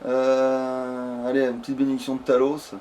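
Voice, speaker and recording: A man's voice: medium pitch, monotone, normal speed, a little mellow. A man giving casual, sweary and jokey commentary as he plays a video game. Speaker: let's play gamer. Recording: faint background noise.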